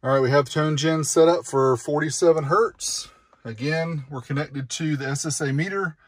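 A man's voice: a little high, normal speed, dry recording. Middle-aged man reviewing car audio gear. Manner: chatty, explains specs step by step. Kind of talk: casual product review. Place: outdoors on a driveway, later inside a vehicle.

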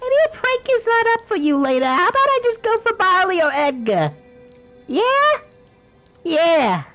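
High voiced girl gamer, super swoopy and theatrical, takes her time, sing-songy game commentary. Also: sing-song, moderate pace, fairly bright tone, soft background music. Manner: sing-song, theatrical exclamations. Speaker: gamer playing in character. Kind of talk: playful gameplay commentary.

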